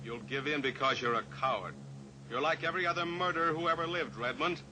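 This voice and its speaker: Actor as steely heroic pilot, high-ish male voice, noisy. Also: quick pace, lightly acted. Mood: grim, pressing. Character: steely heroic cowboy pilot, coolly pressuring a captive killer. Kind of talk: old-time radio drama acting. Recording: noisy old radio recording, engine drone.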